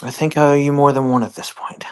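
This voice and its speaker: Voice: medium pitch, flat delivery, fast, a little mellow, clear, resonant tone. A player in a tabletop roleplaying game, speaking in character in conversation.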